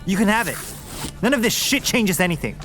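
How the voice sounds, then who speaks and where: A man's voice: sits high, animated, fairly bright tone, faint music behind. A man playing a young rich-kid army conscript, guarded and dryly sarcastic, with a clipped manner. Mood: bitter, exasperated. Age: young adult. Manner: clipped, dry, deliberate.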